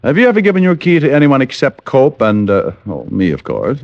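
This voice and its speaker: An actor playing a shrewd, persistent private detective in an old radio drama, on a muffled recording. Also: male voice, mid-range voice, wildly swooping pitch, brisk, no echo. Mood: probing, composed.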